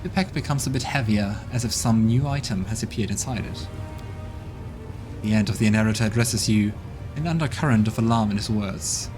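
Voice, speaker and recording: A man hosting a let's play, reading game text aloud and commenting in a relaxed, offhand way. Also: on the low side, brisk. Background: game music.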